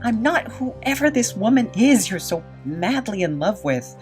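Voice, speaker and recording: Woman playing a slow-spoken, wary wounded captive. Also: medium pitch, big pitch swings, a little mellow. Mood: distrustful, protesting. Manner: halting, guarded, questioning. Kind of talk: audio drama acting. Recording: muffled audio, faint music behind.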